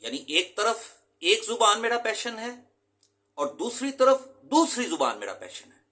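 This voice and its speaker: A man from Pakistan lectures his students as an English teacher, earnest and emphatic, often dropping in English terms. His voice sits a little high and sounds bright and forceful. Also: wildly swooping pitch, unhurried.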